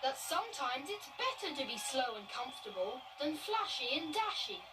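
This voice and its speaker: A woman voicing a modest, contented old walking boot in a calm, plain-spoken way for a children's storybook. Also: high voice, moderate pace, recorded from a distance, background noise.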